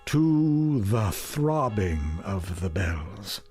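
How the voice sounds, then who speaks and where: A man's voice: fairly low pitch, big pitch swings, extremely slow, darkish tone. A man giving an intense, theatrical poetry recitation, drawing out repeated words. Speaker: poetry reader. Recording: music playing behind the voice. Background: music.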